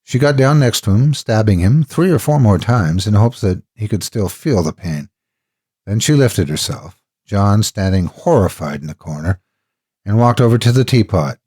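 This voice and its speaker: A man's voice: lowish voice, animated, normal speed, a little mellow, husky. A man narrating scripted horror stories dramatically as host, close to the microphone.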